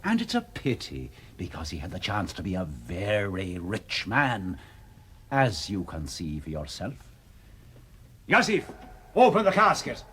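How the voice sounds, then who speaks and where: An actor playing a grandiose, mockingly polite tomb-robbing villain in a radio drama, theatrical and condescending. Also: male voice, sits low, huge pitch swings, unhurried, fairly bright tone, a little hiss or hum, full character voice.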